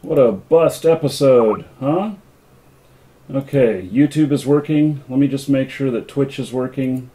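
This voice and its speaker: A man hosting a casual live-stream interview, speaking informally and haltingly as he thinks aloud. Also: medium pitch, dark tone, a little hiss or hum. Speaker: live stream show host.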